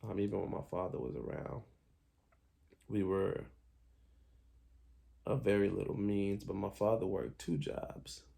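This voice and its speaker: A man's voice: deep voice, flat delivery, measured pace, creaky, pauses often, warm tone. A young man from Haiti telling his own life story in a candid, reflective way, with many ums and restarts. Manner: frequent ums, candid, self-correcting.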